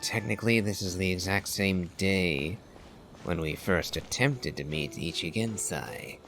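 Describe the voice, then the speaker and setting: A man giving deliberate, pondering game commentary in wordy, thoughtful phrases. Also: deep voice. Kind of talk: slow, musing game commentary and dialogue reading. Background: game music.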